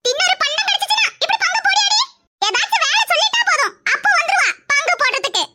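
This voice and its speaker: A woman voicing a scolding, sarcastic cartoon mother with a southern Tamil Nadu accent and a nagging, sharp-tongued manner. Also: extremely high pitch, big pitch swings, fast talker. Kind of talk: cartoon character dialogue. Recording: very loud background noise.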